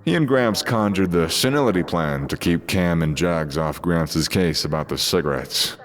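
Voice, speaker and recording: Male narrator, very low pitch, scripted storytelling, audio drama. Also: normal speed.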